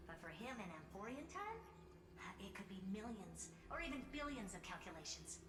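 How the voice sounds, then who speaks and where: An actress playing a sharp, confident female genius scientist who explains strategy incisively in a video game. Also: mid-range voice, sing-song, normal speed, noisy background, close to an everyday voice. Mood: confident, scheming. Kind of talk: video game voice acting, dramatic dialogue. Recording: echoey reverb under loud music.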